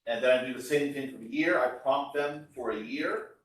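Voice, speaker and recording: A man's voice of middling pitch rings heavily around a classroom, picked up some way from the microphone. He lectures like a university instructor, informal and conversational. He explains things one step at a time. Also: normal speed. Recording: echoey room, voice far from mic.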